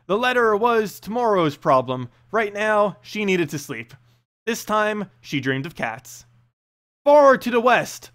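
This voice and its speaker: A man reads a fantasy tale aloud as narrator. His voice sits high for a man and moves widely up and down in pitch. The delivery is dramatic and expressive, in the manner of a storybook reading. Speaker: narrator and channel host.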